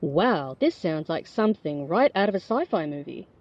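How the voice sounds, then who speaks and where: An actress playing an eager, polite field reporter with emphatic broadcast delivery in an audio drama. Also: female voice, fairly low pitch, big pitch swings, moderate pace, darkish tone, lo-fi sound. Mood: curious, polite.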